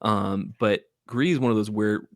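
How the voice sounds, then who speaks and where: Lowish-voiced man, casual and rambling. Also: moderate pace, dry recording, clean studio sound. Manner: informal, rambling, enthusiastic. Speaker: podcast co-host. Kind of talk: casual gaming podcast chat.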